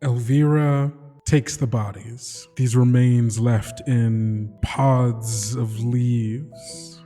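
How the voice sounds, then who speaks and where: A man narrating his character's story solemnly and tenderly, in short measured phrases with pauses. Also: medium pitch, dark tone. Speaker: player in a tabletop game podcast. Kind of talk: slow solemn third-person story narration. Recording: long reverb under music.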